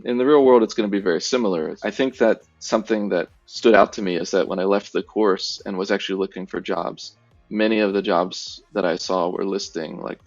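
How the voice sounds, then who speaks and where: Gravelly low-voiced American man in his thirties. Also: no room sound, lo-fi sound. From United States. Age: 30s. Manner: easygoing, hesitant, many fillers. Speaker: former bootcamp student. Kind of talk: testimonial interview.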